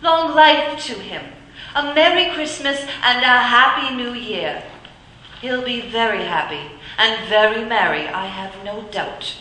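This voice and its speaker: Actress as a bustling mother, radio play, unhurried, bright. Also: on the high side, lively intonation, a little hiss or hum. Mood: scornful. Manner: brisk, scornful when roused. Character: Mrs Cratchit, sharp-tongued loving mother. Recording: roomy echoey recording.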